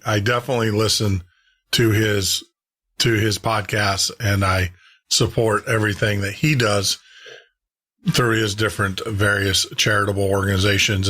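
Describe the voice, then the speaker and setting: A man's voice: on the low side, fairly bright tone. A man hosting a radio show and podcast, talking to listeners in a casual, rambling, chatty monologue.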